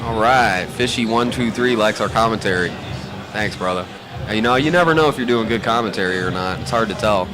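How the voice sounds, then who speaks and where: A man's voice: on the low side, brisk, music in the background. An American man from Ohio giving casual live sports commentary in a chatty, folksy, slangy way. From Ohio, USA. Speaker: pool match commentator.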